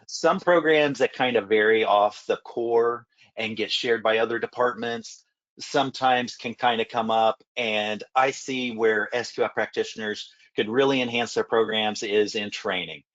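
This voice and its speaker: Raspy man, recovering from a cold. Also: on the low side, steady tone, unhurried, a bit bright, highs cut off. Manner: storytelling, repeats words when restarting. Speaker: food safety consultant and auditor. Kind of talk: panel discussion answers.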